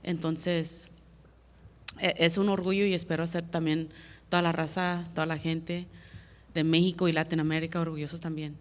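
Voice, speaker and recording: A woman's voice: deep voice, level tone, normal speed, long reverb tail. An American woman fighter answering reporters' questions at a media day, earnest and plainspoken, often repeating words as she searches for them. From United States. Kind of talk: press conference answers.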